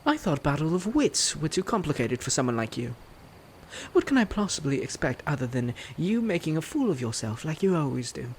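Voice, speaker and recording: An actor playing a suave, smug, flirtatious prince in a romantic roleplay audio drama, teasing and haughty with a sinister edge. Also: male voice, a little high, sing-song, fairly quick, a little hiss or hum. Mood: scornful. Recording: music under the voice.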